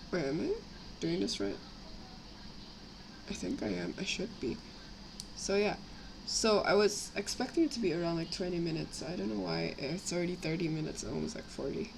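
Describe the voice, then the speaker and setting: A young woman with a slightly raspy voice from a cough, rambling casually as she chats on a live stream while building models. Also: fairly low pitch, expressive, measured pace, pauses often. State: sick with a cough, itchy throat. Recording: clear background noise, home livestream.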